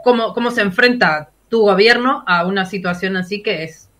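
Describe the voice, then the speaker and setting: A woman with a Rioplatense accent interviews a guest with long, courteous questions. Her voice is bright and forward, and she pauses to find her words. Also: mid-range voice. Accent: Rioplatense Spanish. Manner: polite, searching for words, with fillers.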